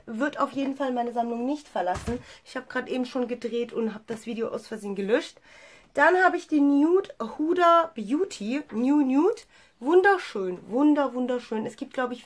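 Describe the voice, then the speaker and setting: A young woman with a cold, chatting enthusiastically about her makeup with lots of fillers, recorded by a camera in a small room at home. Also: high voice. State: has a cold. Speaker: beauty vlogger. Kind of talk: casual makeup review.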